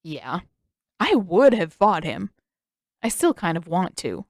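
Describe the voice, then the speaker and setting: A woman's voice: fairly low pitch, very animated, slow, darkish tone, dry recording, studio quality, full character voice. A woman voicing an intense, suspicious teenage boy in an audiobook, emphatic and insistent. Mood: defensive, insistent. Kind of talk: acted audiobook dialogue.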